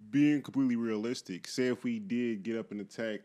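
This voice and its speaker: A man co-hosting a podcast, reasoning aloud in a measured way in casual chat. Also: medium pitch, warm tone. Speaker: podcast co-host.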